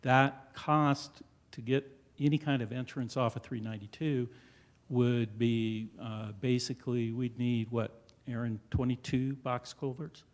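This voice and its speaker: A man representing a developer, presenting to a town board in a council chamber, loud and full of fillers. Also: medium pitch, fairly even intonation, measured pace. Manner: loud and hesitant, many fillers.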